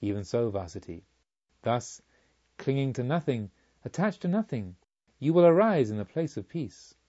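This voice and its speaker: A solemn, serene divine teacher from a storybook, voiced by a man, gently probing in a mellow tone. The delivery is stately and deliberate, on a slightly dull recording. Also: mid-range voice, sing-song. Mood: solemn blessing.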